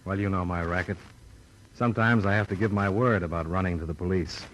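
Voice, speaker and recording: An actor playing a cool, probing insurance investigator in an old-time radio noir, speaking and narrating in a clipped, dry, matter-of-fact way. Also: male voice, low-pitched, fairly quick, fairly bright tone. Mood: dry, skeptical. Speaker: detective and narrator. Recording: noisy old radio drama recording.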